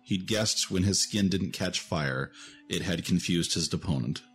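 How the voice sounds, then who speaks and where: A man's voice: low-pitched, unhurried, fairly bright tone. A man narrating a scripted fantasy story as the narrator of an audio drama. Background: music.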